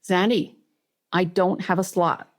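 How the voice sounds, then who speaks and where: Brusque, dismissive Southern boss man, played by a woman, storybook dialogue, voice on the low side. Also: steady tone, takes it slowly. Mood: curt, impatient. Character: Stuart, curt, scornful male newsroom boss.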